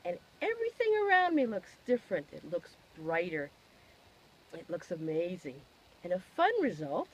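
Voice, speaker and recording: A woman giving a personal testimonial in an expressive, emphatic way, posing questions and answering them herself. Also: medium pitch, lo-fi sound.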